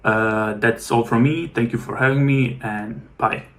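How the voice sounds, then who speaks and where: A man wrapping up a technical talk at an online conference, pausing often with filler words. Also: mid-range voice, steady tone, a bit bright. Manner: halting, many uh fillers. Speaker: conference speaker.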